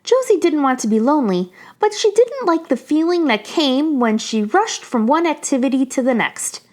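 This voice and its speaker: High-pitched, lively woman reading a children's story. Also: normal speed. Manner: animated storytelling. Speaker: children's story reader, channel host. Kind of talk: expressive read-aloud of a children's picture book.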